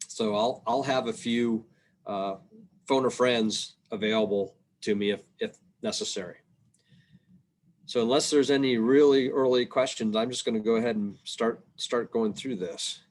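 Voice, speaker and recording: A man giving an informal, explanatory presentation on a video call, plain-spoken and somewhat halting. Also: medium pitch. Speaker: state revenue agency policy presenter. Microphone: video call microphone.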